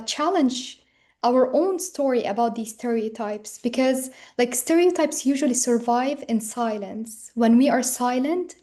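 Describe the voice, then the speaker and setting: A woman's voice: a little high, mellow. A young woman answering questions as a guest in a webinar interview, speaking earnestly and reflectively with frequent "like" fillers, over a video call. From Palestinian and Ukrainian background.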